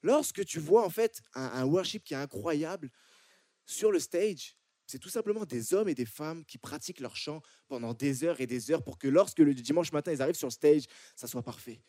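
Mellow Frenchman preaching a sermon. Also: fairly high pitch, animated, moderate pace, no echo, clean studio sound. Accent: French from France. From France. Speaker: church preacher. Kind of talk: sermon to a congregation.

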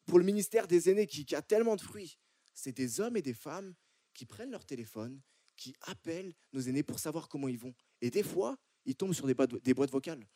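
A man preaches to a congregation in French with an accent from France. His voice is fairly high for a man, with a soft, mellow tone, and it sounds very dry and close. He often circles back, repeating words and restarting phrases as he builds his point. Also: no echo. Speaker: church preacher. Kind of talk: sermon to a congregation.

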